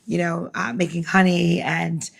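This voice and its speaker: A woman's voice: on the low side, flat delivery, a little slow, vocal fry, a crowd in the background. Woman podcast co-host, rambling casual chat, lots of fillers, giggly.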